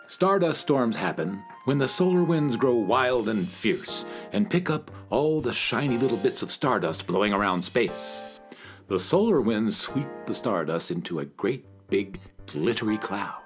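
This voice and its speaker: A man's voice: lowish voice, expressive, a little slow, soft background music. A man narrating a scripted children's radio story, speaking directly to young listeners.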